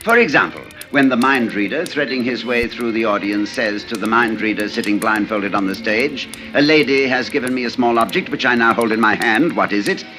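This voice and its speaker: A man's voice: mid-range voice, brisk, hardly any pauses, natural voice. An actor in a 1940s radio drama playing an urbane, talkative male stage mentalist who speaks in a suave, theatrical, mannered way. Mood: showman. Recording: old radio recording, faint music.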